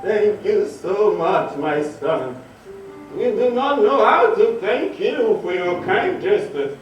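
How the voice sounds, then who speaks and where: A man's voice: high voice, lively intonation, lo-fi sound, voice pushed up. A man on stage voicing a blind widowed beggar mother, pleading and grateful in theatrical style. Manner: slow, pleading delivery with blessings. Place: hotel hall stage. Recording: distant echoey stage recording, music behind.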